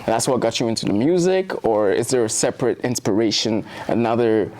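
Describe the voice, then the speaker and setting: A man's voice: mid-range voice, lively intonation, fairly quick, a little mellow. A man hosting a music interview, asking questions in an easygoing way with hip-hop slang. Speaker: interview host who also makes music.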